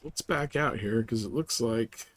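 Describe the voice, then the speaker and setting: A man's voice: mid-range voice, a little slow, no echo. A man hosting a game livestream, talking through his play in a casual, folksy, slangy way to viewers. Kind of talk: casual gameplay commentary, chatting to viewers.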